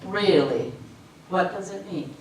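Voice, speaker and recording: A woman playing a reasonable, level-headed secretary with a calm, matter-of-fact delivery on stage. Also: lowish voice, takes it slowly. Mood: calm, skeptical. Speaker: amateur stage actor. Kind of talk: readers theater stage performance. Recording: echoey hall, distant mic, faint noise.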